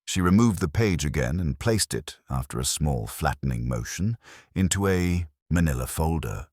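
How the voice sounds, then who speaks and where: Very deep male storyteller, crisp and lively. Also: moderate pace, dry recording, clean studio sound. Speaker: narrator. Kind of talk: scripted audiobook narration of a mystery.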